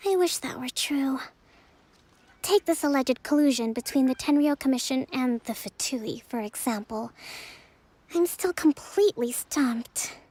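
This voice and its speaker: A woman voicing a gentle young leader in a video game, speaking in a measured, thoughtful and formal way. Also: high-pitched. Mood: weary. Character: gentle, composed young female leader and strategist. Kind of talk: video game character voice acting.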